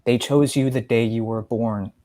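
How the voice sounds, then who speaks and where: A man playing a gentle, earnest father who is a magic professor in a story reading, speaking in a measured, reassuring way. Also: medium pitch, fairly even intonation, close to an everyday voice. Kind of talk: story reading, dialogue part.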